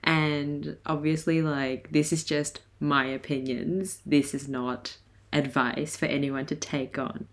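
A woman in her twenties with a hoarse, raspy voice, chatting casually and laughing often as she hosts her podcast. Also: low-pitched, flat delivery, takes it slowly. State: hoarse from a night of singing. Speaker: podcast host. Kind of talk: casual solo podcast chat.

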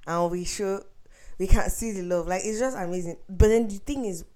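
Raspy-voiced woman podcast co-host, insistent. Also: mid-range voice, normal speed, dark tone. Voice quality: raspy, husky. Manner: insistent, argumentative. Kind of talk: casual chat.

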